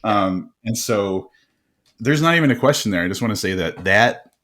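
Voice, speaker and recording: A man hosting an interview podcast, telling quick stories in a casual, animated way with frequent laughs and restarts. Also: on the low side. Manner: animated storyteller, laughs often, many restarts. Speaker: podcast host.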